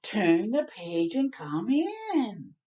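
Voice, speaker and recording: A woman voicing welcoming storybook creatures that invite a child in, with drawn-out words. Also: mid-range voice, wildly swooping pitch, mellow, highs cut off, heavily acted. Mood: inviting.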